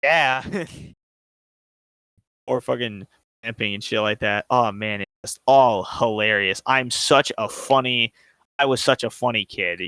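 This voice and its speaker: A man's voice: medium pitch, unhurried, pauses often, bright, forceful tone, clean tone, no echo. A young man from Canada hosting a casual podcast chat, joking and poking fun at himself. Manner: joking, self-mocking.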